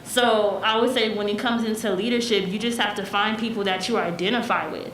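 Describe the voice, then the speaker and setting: A woman's voice: medium pitch, fairly even intonation, brisk, fairly bright tone. A young American woman speaking conversationally on a town hall panel, explaining her points with repeated phrases. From United States. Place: echoey room at a town hall forum. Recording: echoey room, faint noise.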